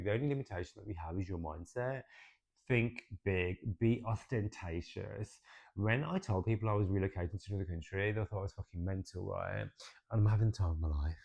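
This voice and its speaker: Gravelly low-voiced man, chatty astrology reading. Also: lo-fi sound. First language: English. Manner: expressive, chatty, swears casually, says "right?" often. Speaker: astrology and tarot reader.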